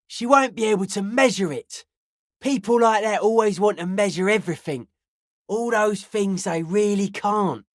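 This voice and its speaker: A woman's voice: mid-range voice, dry recording, natural voice. Woman as a cryptic, earnest young raver psychic, dry recording. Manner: slangy, cryptic, matter-of-fact. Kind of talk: video game character dialogue.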